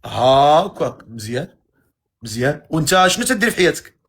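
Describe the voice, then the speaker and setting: A man with a Moroccan accent hosting a matchmaking live stream, teasing guests with quick-fire questions. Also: fairly high pitch, very animated, a bit bright, slightly rough.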